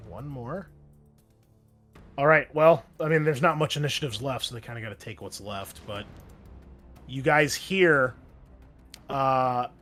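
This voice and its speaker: A man's voice: mid-range voice, a bit bright. A man runs a tabletop game as its game master, his voice sitting in a middle register. He explains rules with filler words and restarts. Faint music plays underneath.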